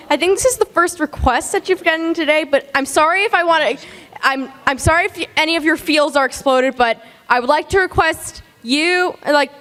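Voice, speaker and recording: Female audience questioner, very high voice, apologetic and halting, reverberant hall. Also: fairly bright tone. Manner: nervous, apologetic, stammering. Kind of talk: hesitant casual question. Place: large indoor convention hall.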